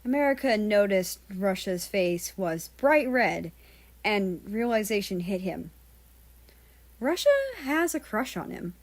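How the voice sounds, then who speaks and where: A woman's voice: mid-range voice, animated, takes it slowly. A woman narrating a fan-written story aloud as its reader.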